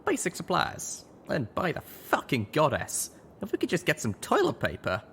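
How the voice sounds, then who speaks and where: A man's voice: a little high, huge pitch swings, moderate pace, vocal fry, a bit bright, a little hiss or hum, voice pushed up, full character voice. A man voicing a brash, foul-mouthed pony townswoman with a blunt, colloquial manner for a story reading. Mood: gruff complaining. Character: brash, foul-mouthed adult mare townswoman, proud and rough.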